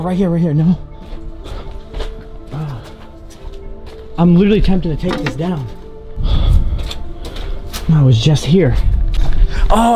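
A young man in his twenties talking excitedly to a handheld camera as he explores an abandoned sea fort, thinking aloud. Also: high-pitched. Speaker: urban explorer vlogger. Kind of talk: casual handheld vlog narration. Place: inside an abandoned sea fort, concrete corridors and rooftop.

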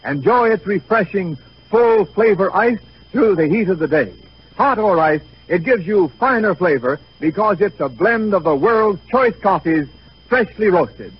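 American man, 1930s commercial announcer, high-pitched, very husky, dull old radio sound. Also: lively intonation, measured pace. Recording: muffled 1930s radio recording.

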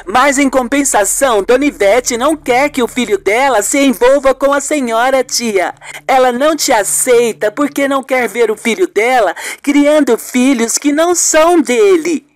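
A woman's voice: sits high, normal speed, no room sound, lightly acted. A woman playing a blunt, loyal young niece in an amateur radio soap, earnest and outspoken. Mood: indignant.

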